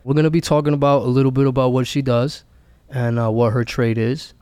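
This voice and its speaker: A man's voice: medium pitch, little pitch movement, a little fast, a little mellow, smooth, dry recording. A man hosting a podcast interview, keeping his part to short, encouraging replies. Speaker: podcast host. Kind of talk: casual interview.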